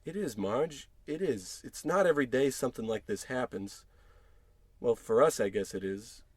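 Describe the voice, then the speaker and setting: A man's voice: mid-range voice, animated, normal speed, slightly rough, no echo. A man playing an irritable, money-worried working-class husband in a blustering, sarcastic old-time radio comedy style. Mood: brooding. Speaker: actor in scripted comedy podcast.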